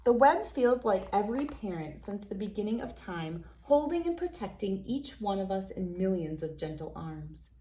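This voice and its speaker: Woman, read-aloud host, mid-pitched voice, children's picture book narration, expressive and deliberate phrasing.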